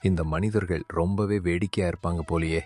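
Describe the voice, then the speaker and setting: A man's voice: deep voice, steady tone, fairly quick, a little mellow, soft background music, natural voice. A man voicing an amused, lordly big animal in a children's cartoon story.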